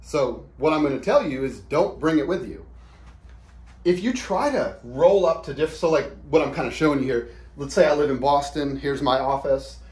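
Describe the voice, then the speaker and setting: A man's voice: fairly high pitch, lively intonation, a little mellow. A man in his thirties giving an informal whiteboard explainer in a casual, plain-spoken, conversational way. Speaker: product demonstrator. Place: small indoor office room. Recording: roomy, echoey quick camera shoot.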